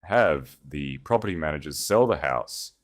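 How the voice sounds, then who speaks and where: Resolute duchess for storytelling, played by a man: low register, animated intonation, solemn declarations, poised authority. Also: normal speed. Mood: commanding. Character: composed, resolute woman duchess, formerly timid.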